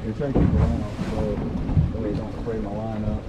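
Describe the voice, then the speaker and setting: A man's voice: on the low side, completely flat delivery, brisk, muffled recording. A man hosting a casual fishing vlog outdoors on a boat, talking in an easygoing, chatty way and laughing often. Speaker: fishing guide and channel host. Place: outdoors on a boat and dock on open water. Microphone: action camera. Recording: loud wind and boat noise.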